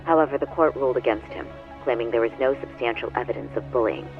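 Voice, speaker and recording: An earnest lawyer, played by a male actor, speaks in a measured and formal manner, laying things out clearly at a middle pitch. It sounds like a video game cutscene, with music clearly playing behind him. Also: phone-quality sound, lightly acted. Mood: measured, factual. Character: earnest male lawyer briefing a detective colleague.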